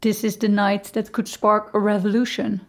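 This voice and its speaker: A woman reading a first-person novel as an audiobook narrator, with measured, deliberate phrasing. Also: mid-range voice, flat delivery.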